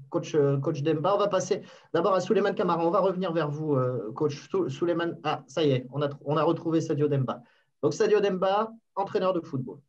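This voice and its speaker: A man moderating an online conference over a headset, halting and full of fillers. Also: a little high, a little mellow. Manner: halting, many fillers and restarts. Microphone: headset on video call. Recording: distant dull webcam audio.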